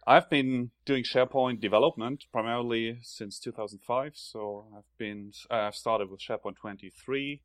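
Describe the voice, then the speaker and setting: A man's voice: medium pitch, fairly even intonation, moderate pace, hardly any pauses, dry recording. A man from Germany with a German accent, a guest on a podcast who talks hesitantly with many fillers. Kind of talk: casual interview talk.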